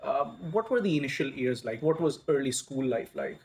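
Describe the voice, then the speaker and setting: A man hosts a podcast interview at a medium pitch. He listens closely, echoes answers back and puts his questions in plain conversational language. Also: lively intonation, normal speed, a little mellow. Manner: attentive, repeats and affirms answers. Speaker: podcast host.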